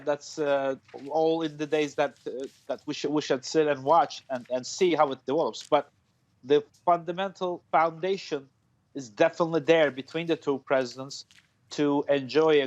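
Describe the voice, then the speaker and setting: A man's voice: on the high side, steady tone, normal speed. A man in his forties, a foreign policy analyst, speaking live from home over a video call, hesitant, with many fillers and restarts. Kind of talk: live news interview. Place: room at home. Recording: dull lo-fi video call audio.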